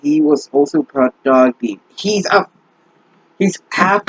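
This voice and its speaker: A man's voice: high voice, very animated, a little slow, creaky, a bit bright, husky, no room sound. A young man reading a bad fanfic aloud and mocking it with exasperated, profane, sarcastic remarks. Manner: sarcastic, exasperated, swears often. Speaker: fanfic reader and commentator. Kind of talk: mocking commentary on a bad story. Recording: dull lo-fi dry mic.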